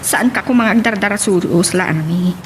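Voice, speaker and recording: A woman's voice: mid-range voice, moderate pace, slight background noise, lightly acted. A woman playing a concerned, frank young friend in an Ilocano audio drama. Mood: concerned, probing.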